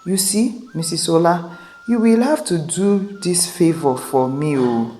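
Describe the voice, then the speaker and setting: A woman's voice: fairly low pitch, animated, measured pace, mellow. A woman voicing a firm, pleading elderly grandmother in a folktale. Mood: grateful, pleading. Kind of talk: acted folktale dialogue.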